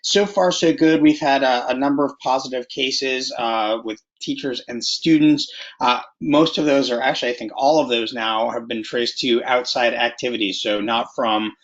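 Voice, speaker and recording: A man's voice: mid-range voice, steady tone, normal speed. A man giving an informal update as a school board member in an online meeting. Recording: muffled video meeting audio.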